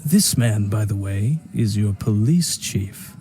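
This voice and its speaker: Mellow, self-satisfied businessman gangster. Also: on the low side, animated, takes it slowly, a little hiss or hum. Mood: wry, courteous. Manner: urbane, wry, leisurely courteous menace. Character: smooth-talking, self-satisfied male crime businessman. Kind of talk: naturalistic video game voice acting.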